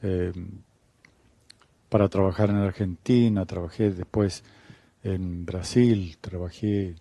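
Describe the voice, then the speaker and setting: An older man from Chile, a guest theologian answering interview questions in a measured, unhurried way with many pauses. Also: sits low, steady tone, warm tone, dry recording.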